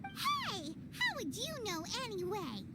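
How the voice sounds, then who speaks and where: A woman playing a tiny, excitable, skeptical fairy companion in a video game, with a squeaky childlike voice. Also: slow pace, over music, exaggerated voice. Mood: indignant. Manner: exclamatory, cute, questioning. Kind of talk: scripted video game voice acting.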